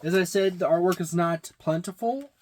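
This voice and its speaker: A middle-aged man giving a casual, halting, matter-of-fact unboxing and review, recorded in a small room. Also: high voice. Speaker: tabletop game reviewer. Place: small indoor room with packed shelves.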